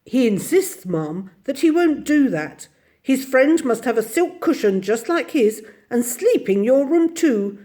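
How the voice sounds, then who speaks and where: A composed storybook nanny, voiced by a woman reader. She speaks firmly and insistently in a high, lively voice, stating things as plain fact. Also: unhurried. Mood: calmly insistent. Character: composed, firm nanny calmly translating for a dog.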